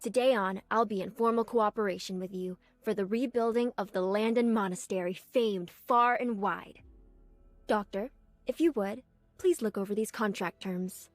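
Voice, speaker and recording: A woman voicing an earnest, dutiful young nun archer in a video game, speaking politely and formally in measured phrases. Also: mid-range voice, no echo, natural voice. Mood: calm, formal. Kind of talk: scripted game character voice lines. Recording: clean dry studio, music behind.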